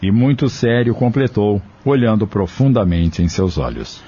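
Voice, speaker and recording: A man's voice: fairly low pitch, animated, normal speed, mellow, husky, highs cut off. A man narrating a scripted dramatic story as the narrator of an audio drama.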